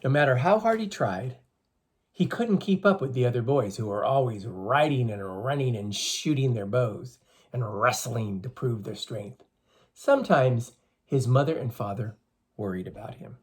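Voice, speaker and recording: Slightly husky storybook reader for young children. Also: medium pitch, lively intonation, moderate pace. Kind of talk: children's read-aloud, explanatory and expressive.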